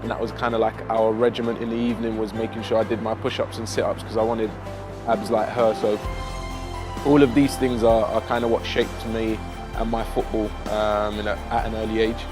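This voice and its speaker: A young man with a South East London accent tells his life story in a casual, reflective monologue, with measured and hesitant phrasing. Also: lowish voice, little pitch movement. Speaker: vlogger telling own life story. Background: background music.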